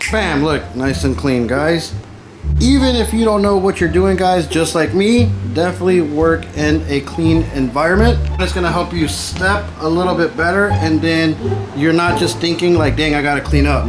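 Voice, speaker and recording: A man hosting a casual DIY build video, talking informally and with slang to his viewers as he explains the work. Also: on the high side. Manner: informal and chatty, slangy, addresses viewers as guys. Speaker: DIY car audio builder and video host. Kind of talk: casual how-to vlog narration to viewers.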